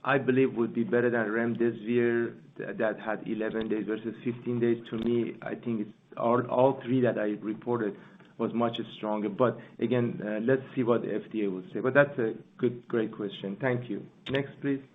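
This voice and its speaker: Halting man on a muffled phone line. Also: medium pitch, fairly even intonation, a little hiss or hum. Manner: halting, repeating himself. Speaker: company chief executive hosting investor call. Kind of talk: unscripted conference call Q&A.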